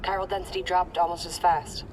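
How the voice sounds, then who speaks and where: A woman's voice: lowish voice, little pitch movement, fairly quick, a little hiss or hum, close to an everyday voice, poor audio. A woman playing a caring, lonely radio contact. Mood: concerned. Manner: concerned, gentle over the radio. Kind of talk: video game cutscene acting.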